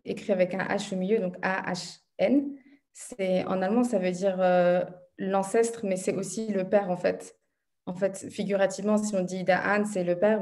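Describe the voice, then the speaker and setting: A woman's voice: on the low side, a little mellow. A young woman in her twenties, a student interviewer, talking in a chatty way over a video call from her room at home. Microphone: video call laptop mic.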